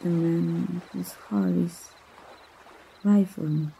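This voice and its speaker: A woman from Mexico voicing a gentle, romantic young princess in an ASMR roleplay, soft and breathy, with a hesitant, earnest manner. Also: lowish voice, fairly even intonation, slow, a little hiss or hum, heavily acted. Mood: shy, tender. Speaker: amateur voice actor.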